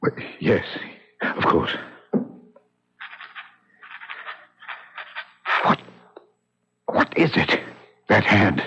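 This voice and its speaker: An actor playing a thoughtful, uneasy gentleman narrating a mystery in an old radio drama, formal, measured and dramatic. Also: deep voice, wildly swooping pitch, creaky, breathy edge, full character voice. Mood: shaken. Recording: dull old radio recording with music.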